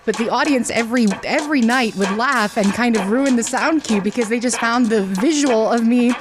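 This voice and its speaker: A woman's voice: fairly high pitch, moderate pace. A woman, a radio theater performer, talking casually in an interview. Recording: music behind the voice.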